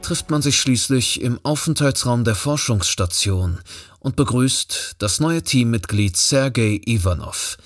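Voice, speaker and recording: A man's voice: medium pitch, animated, measured pace, no echo, studio quality. A man reading scripted narration for a thriller audio drama. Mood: measured. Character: male narrator of a polar thriller.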